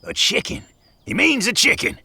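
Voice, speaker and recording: A florid old hobo for a radio play, gravelly, wildly swooping pitch, folksy and sly. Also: male voice, wildly swooping pitch, normal speed, sharp tone, dry recording, full character voice. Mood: wry. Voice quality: gravelly, rough. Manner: folksy drawl with mock-fancy wording. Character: sly, florid older hobo presiding over a stew pot. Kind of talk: audio drama acting.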